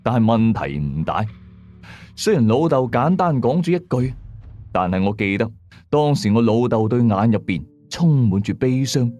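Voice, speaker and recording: A man with a Hong Kong Cantonese accent tells a horror story in the first person, in a suspenseful, colloquial, expressive way. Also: mid-range voice, moderate pace, dull audio. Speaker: ghost story narrator. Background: music behind the voice.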